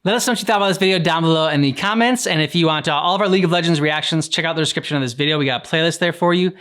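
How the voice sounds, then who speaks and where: A man's voice: on the high side, racing, fairly bright tone, smooth. A man co-hosting a reaction show in loose, laid-back casual talk.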